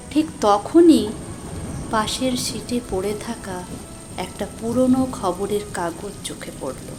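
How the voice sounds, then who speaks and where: A woman's voice: medium pitch, darkish tone, slight background noise. A woman playing an anxious young woman who recounts an eerie night in an emotive, suspenseful audio drama. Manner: emotive, suspenseful, drawn-out delivery. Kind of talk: dramatic scripted first-person story narration. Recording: music behind the voice.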